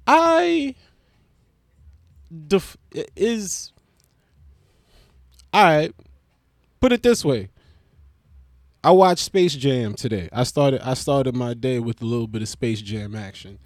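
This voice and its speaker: A man's voice: mid-range voice, a bit bright, no echo, clean studio sound. A man hosting his own podcast, rambling in a casual, profane, joking way and restarting his intro. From Brooklyn. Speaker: podcast host.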